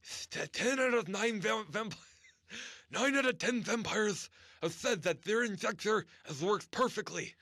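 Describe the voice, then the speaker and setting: An ancient vampire selling products in a comedy infomercial. The voice is pitched high and dry, with a halting, theatrical delivery and a world-weary air. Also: male voice, bright, forceful tone, no room sound, full character voice. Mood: salesmanlike. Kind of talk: mock infomercial sales pitch.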